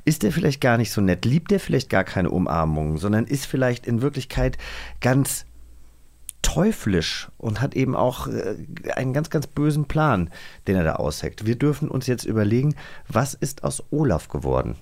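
A man's voice: mid-range voice, sing-song, moderate pace, a little mellow. A man hosting a casual magazine show, feeling his way through sentences with some hesitation. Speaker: host.

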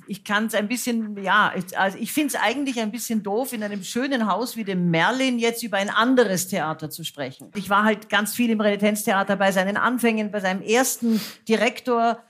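A woman's voice: mid-range voice, a little fast, few pauses. Frank, wry woman guest on stage. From United States. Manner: lively, frank, self-mocking, many fillers. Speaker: guest singer and author. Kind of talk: stage talk before a live audience. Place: cultural centre stage with audience.

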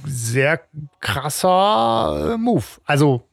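A man's voice: fairly high pitch, big pitch swings, very slow, gravelly, dry recording, clean studio sound. A man co-hosting a podcast, chatting about a radio drama with enthusiasm and lots of filler sounds. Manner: enthusiastic, hesitant with many fillers. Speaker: podcast co-host.

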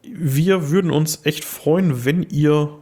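A slightly hoarse young man co-hosting a casual podcast, rambling with lots of fillers and restarts. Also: fairly high pitch, slow pace, warm tone. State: a little hoarse. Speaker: podcast co-host. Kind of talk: casual chat.

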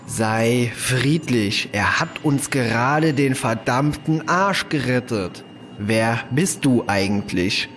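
A man's voice: medium pitch, animated, slow pace, fairly bright tone, faint music behind. A man playing a defiant, wry human fugitive in a science fiction audio drama, given to swearing. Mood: wry relief.

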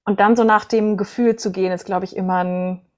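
Woman, from northern Germany, voice coach, podcast guest, medium pitch, conversational answers. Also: steady tone, a little fast, darkish tone.

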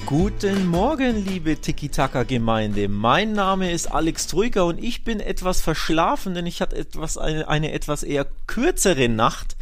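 A man's voice: a little high, sing-song, a little slow, hardly any pauses, faint music behind. A man co-hosting a football podcast, recounting news in a colloquial chatty way with English slang sprinkled in.

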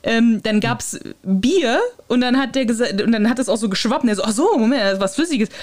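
A woman hosting a podcast, retelling anecdotes in a casual, giggly and animated way. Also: a little high, fairly quick. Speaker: podcast host.

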